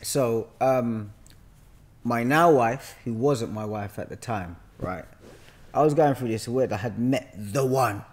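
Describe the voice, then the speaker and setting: A London man in his thirties talks casually into a podcast mic, with a mid-pitched voice. He tells stories in an animated, bantering manner, dropping in slang as he goes. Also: slow. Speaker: podcast guest, actor. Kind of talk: casual conversational storytelling. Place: bright living room, on a sofa. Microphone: podcast mic on a boom arm.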